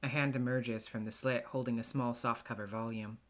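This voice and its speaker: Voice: mid-range voice, steady tone, brisk, a bit bright. A solo voice actor giving a dramatic scripted narration of a visual novel.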